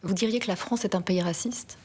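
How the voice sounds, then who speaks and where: Husky-voiced woman asking formal questions. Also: mid-range voice, a little mellow. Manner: polite, formal, measured questions. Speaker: interviewer.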